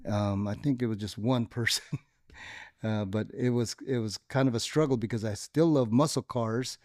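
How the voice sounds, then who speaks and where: A man born in the Philippines, with Tagalog as his first language, talks through his memories in a loose, rambling way. His voice sits at an ordinary middle pitch. The sound is dry and close. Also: steady tone, moderate pace, a little mellow, no echo. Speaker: guest, lowrider club member. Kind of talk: casual interview storytelling.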